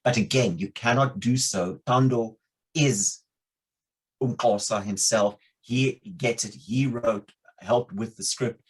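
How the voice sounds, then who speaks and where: A man's voice: mid-range voice, slow pace, husky, poor audio. A man speaking as a panelist on a video call, thoughtful and earnest, often repeating words as he works through a point. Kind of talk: panel discussion.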